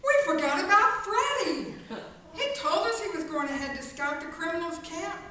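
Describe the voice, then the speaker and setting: A woman's voice: very high voice, expressive, moderate pace, sharp tone, full character voice. A woman playing a prim, firm, well-to-do lady in a radio play, polite and no-nonsense. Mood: urgent. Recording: distant mic, hissy echoey room.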